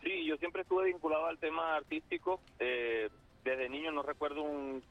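A man's voice: a little high, steady tone, moderate pace. A man with a Zulian Venezuelan accent, a guest on a phone interview who talks hesitantly with many fillers. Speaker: guest muralist. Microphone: phone line. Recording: muffled phone line.

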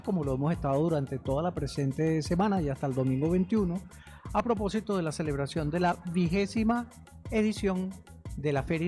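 A man's voice: fairly high pitch, mellow. A man with a Venezuelan accent hosting a radio interview in an informal, chatty way, often interjecting. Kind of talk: conversational radio interview from a book fair. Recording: music under the voice.

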